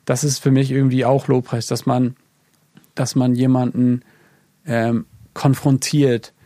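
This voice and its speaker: A man's voice: mid-range voice, little pitch movement, measured pace, mellow, no room sound, studio quality. A man from Germany talking reflectively in a casual podcast conversation, thinking aloud with many fillers. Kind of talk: casual conversation about worship music.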